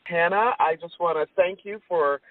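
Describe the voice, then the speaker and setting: Female talk radio host, low-pitched and earnest, deliberate phrasing, dry narrow-band sound. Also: no room sound. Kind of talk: hosting an inspirational radio interview.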